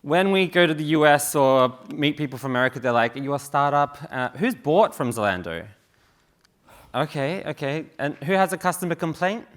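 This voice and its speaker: A man's voice: a little high, lively intonation, normal speed, fairly bright tone. A man in his thirties from the UK giving a casual, joking conference talk on stage in a large hall. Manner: joking, chatty, many fillers. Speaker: conference speaker. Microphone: stage mic.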